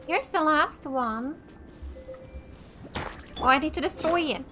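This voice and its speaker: A woman from Finland with as her hosts a casual let's play. Her voice is high and she takes her time as she comments, with faint music behind her. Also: expressive, slow pace, a bit bright. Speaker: gamer, let's play host.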